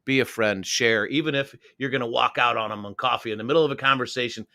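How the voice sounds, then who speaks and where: A man's voice: mid-range voice, fairly even intonation, quick pace, sharp tone. A man co-hosting a live internet radio talk show, earnest and heartfelt as he gives sincere advice to listeners. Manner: earnest, speaks in sincere pep talks. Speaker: co-host. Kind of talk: casual chat.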